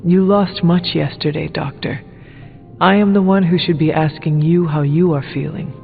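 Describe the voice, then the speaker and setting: An actor playing a solemn, stoic demon-born warrior hero in a video game, with formal, old-fashioned phrasing. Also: male voice, on the high side, fairly even intonation, normal speed, vocal fry, narrow, tinny audio. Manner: formal, archaic phrasing without contractions. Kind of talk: video game dialogue acting. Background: background music.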